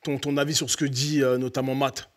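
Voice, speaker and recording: A man's voice: medium pitch, level tone, a little fast. A male host moderating a football fan panel, courteous and slightly hesitant. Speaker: show host.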